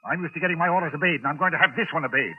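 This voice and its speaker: An actor playing a wealthy, imperious, agitated publisher in a 1940s radio drama, brusque and self-important. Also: male voice, a little high, racing, a little hiss or hum, natural voice. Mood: irritated, commanding. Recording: tinny, narrow-band old radio recording.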